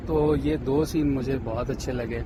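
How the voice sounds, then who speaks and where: A man's voice: mid-range voice, fairly even intonation, moderate pace, noisy background. A man chatting casually as an interview guest. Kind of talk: casual chat.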